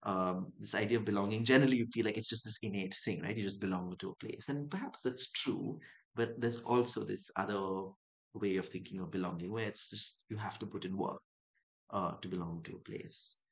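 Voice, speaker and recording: A man's voice: lowish voice, brisk. A young man from Dubai and Chennai, a writer guest in an interview, talking in a reflective, musing way. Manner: thoughtful, musing, acts out quoted voices.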